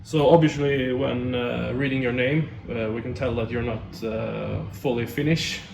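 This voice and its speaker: Gravelly male interviewer, echoey distant sound. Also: medium pitch, steady tone. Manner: halting, searching for words. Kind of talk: club interview questions. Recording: roomy, distant mic, noisy.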